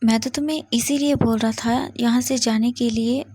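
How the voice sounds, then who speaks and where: A woman voicing a calm young man who speaks firmly and reassuringly. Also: medium pitch, normal speed, no room sound, natural voice. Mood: soothing.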